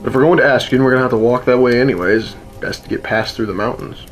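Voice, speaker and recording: A man's voice: mid-range voice, fast, lightly acted. Man playing a sardonic fantasy rebel, music behind. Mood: wry, guarded. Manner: sardonic, teasing, matter-of-fact. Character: wry, world-weary fantasy rebel man, gruffly protective. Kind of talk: fantasy radio theater acting. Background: music under the voice.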